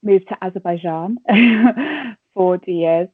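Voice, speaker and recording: A woman's voice: medium pitch, slow pace, husky, no echo. A woman in her twenties from Wales with an English accent, chatting casually as a radio presenter with lots of fillers and laughs. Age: 20s. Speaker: radio presenter and entertainment reporter. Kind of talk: casual interview answers. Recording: tinny phone-line sound.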